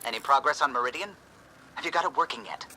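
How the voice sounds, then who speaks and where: Quick-talking starship crewman. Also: mid-range voice, bright, forceful tone, a little hiss or hum, poor audio. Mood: eager, concerned. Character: brisk male starship crewman checking on ground team. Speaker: crew member on comms. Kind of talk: video game cutscene acting.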